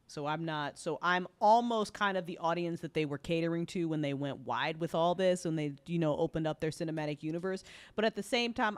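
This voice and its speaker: Emphatic, slightly low-voiced woman chatting. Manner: emphatic, blunt, swears freely. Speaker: panel host. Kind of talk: casual opinionated panel chat.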